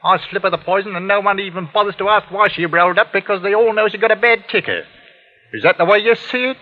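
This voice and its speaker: An actor playing a sly, scheming middle-aged working-class English uncle in a 1940s radio drama, oily and mock-friendly. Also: male voice, high voice, animated, quick pace. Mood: mock-offended, scheming. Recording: dull old radio recording, reverberant.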